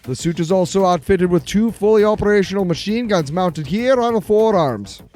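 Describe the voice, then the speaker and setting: An actor playing an eager, deferential research chief in an audio drama, explaining things precisely and proudly. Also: male voice, high voice, animated, moderate pace, darkish tone, soft background music.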